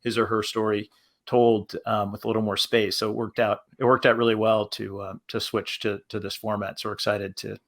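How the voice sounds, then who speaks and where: A man speaking informally as a panelist, halting and repeating words as he explains. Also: fairly low pitch, little pitch movement, fairly bright tone. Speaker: panelist, producer.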